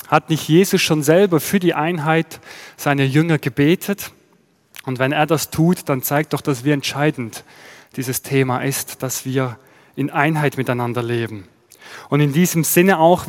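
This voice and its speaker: A male pastor with a Swiss German accent gives a sermon in a steady, explaining manner, asking his listeners rhetorical questions. His voice sits a little higher than most men's. The sound carries a long reverb, as in a church hall. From Switzerland.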